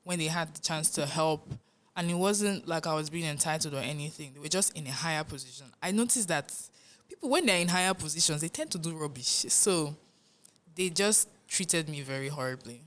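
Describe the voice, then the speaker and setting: A young woman with a Nigerian accent talks through her life and music in a relaxed interview. Her voice sits low for a woman, yet she speaks with lively, earnest energy and breaks into easy laughter. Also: a little fast. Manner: animated, laughs often, earnest. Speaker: musician guest. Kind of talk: casual interview talk.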